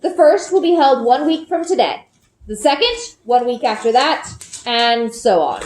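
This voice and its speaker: A woman plays a grave male village Seeker from a fantasy storybook. He speaks slowly and deliberately, with formal authority. The room rings with echo around each announcement. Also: a little high, expressive, a little mellow. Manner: slow, solemn, deliberate.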